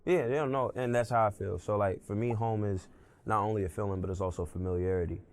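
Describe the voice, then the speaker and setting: A man's voice: lowish voice, fast, a little mellow. A young man from Brooklyn, New York, chatting casually as an interview guest, laid-back and full of fillers like "you know what I'm saying". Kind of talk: casual conversation.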